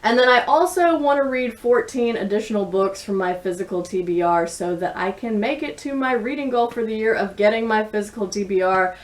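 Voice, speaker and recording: Woman, slightly off-mic, medium pitch, casual book reviewer, reflective, measured delivery. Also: recorded from a distance. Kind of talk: casual book chat to camera.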